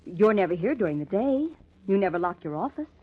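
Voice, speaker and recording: A woman's voice: mid-range voice, big pitch swings, fairly quick, narrow, tinny audio. An actress in an old-time radio crime drama playing a man's familiar, inquisitive woman friend, with a coaxing way of talking. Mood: reasoning. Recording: thin, band-limited vintage radio recording.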